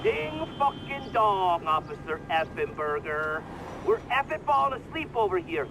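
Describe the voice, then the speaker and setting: An actor playing a crude, impatient police colleague in a video game, mocking, bossy and sing-song. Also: male voice, high voice, sing-song, measured pace, background noise, heavily acted. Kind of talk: video game cutscene acting.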